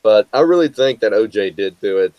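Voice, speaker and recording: A man's voice: lowish voice, animated, fairly quick, darkish tone, no room sound, low-quality recording. A young American man co-hosting a casual talk show, rambling with lots of fillers and restarts. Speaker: co-host. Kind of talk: casual chat.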